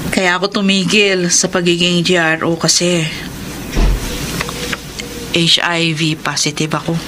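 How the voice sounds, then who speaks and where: An actress playing a remorseful former bar hostess in a Filipino radio drama, earnest and sorrowful. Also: female voice, fairly low pitch, flat delivery, a little slow, noisy background, natural voice. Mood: grave confession. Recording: loud music under the voice.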